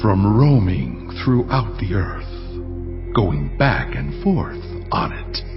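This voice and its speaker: A man voicing Satan as a sly accuser in a dramatized scripture reading, speaking slowly and deliberately. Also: on the low side, big pitch swings, vocal fry, full character voice. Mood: challenging. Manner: slow, deliberate, challenging. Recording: dull lo-fi recording. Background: cinematic music.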